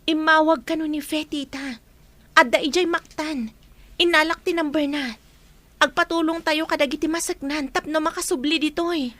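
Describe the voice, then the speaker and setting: A woman's voice: sits high, fairly even intonation, normal speed, bright, forceful tone, dry recording. A woman acting a helpful, take-charge young woman in an Ilocano radio drama. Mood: reassuring.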